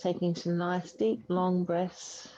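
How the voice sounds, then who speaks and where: A woman yoga teacher leading a guided relaxation meditation in a soothing, unhurried way with long pauses. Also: lowish voice, flat delivery, warm tone. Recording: dull muffled audio, faint background noise.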